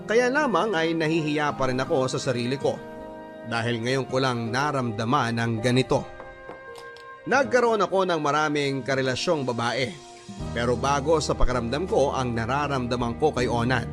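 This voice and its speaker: A man with a mid-range voice narrates a letter in classic radio storytelling style. His delivery is measured and sentimental. Music plays prominently beneath him. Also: mid-range voice. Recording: voice over prominent background music.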